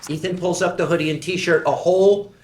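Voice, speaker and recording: A man reading the stage directions at a table read of a screenplay, in a measured, even way. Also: high-pitched, roomy sound, slight background noise.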